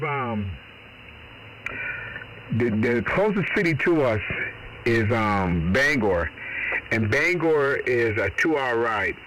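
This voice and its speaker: A man's voice: mid-range voice, background noise. A middle-aged man, chatting on amateur radio in a folksy, rambling, mildly salty way. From Boston. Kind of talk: casual radio chat.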